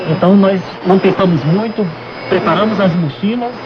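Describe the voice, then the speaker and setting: A man's voice: high-pitched, fairly quick. Noisy, dull recording of a man chatting. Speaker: radio programme guest. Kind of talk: casual travel storytelling. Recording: dull lo-fi radio with clear noise.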